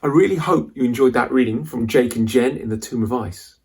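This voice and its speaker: A man reading a children's adventure story aloud as a dramatic, suspenseful narrator with deliberate delivery. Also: medium pitch, darkish tone, slightly rough.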